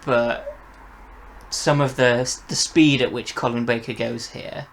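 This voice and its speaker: A man's voice: mid-range voice, steady tone, takes it slowly, creaky, a little hiss or hum. A man in an enthusiastic, casual review chat, explaining details as a fan would. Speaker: review show host.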